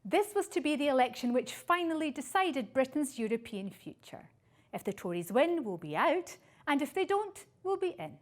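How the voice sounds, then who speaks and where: A woman presenter delivering scripted political commentary with an arch, wry manner. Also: high-pitched.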